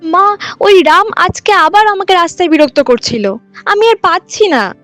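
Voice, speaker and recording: A woman voicing a gentle, earnest young village woman, the yielding younger sister in a cartoon. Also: very high-pitched, expressive, moderate pace, dry recording, faint music behind. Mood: distressed. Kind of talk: cartoon voice acting.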